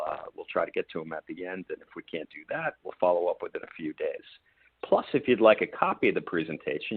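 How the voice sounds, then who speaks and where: Man, husky moderator, animated delivery, phone-quality dry audio. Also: low-pitched, dry recording. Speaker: webinar moderator. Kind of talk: scripted event hosting. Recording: dry tinny phone-like line.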